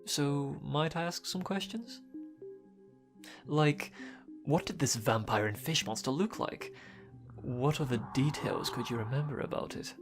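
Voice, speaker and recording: Casting: actress as calm, enigmatic companion, extremely deep for a woman, unhurried and softly curious, audio drama. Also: faint music behind. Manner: measured, softly curious, deliberate. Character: calm, probing, quietly mysterious companion.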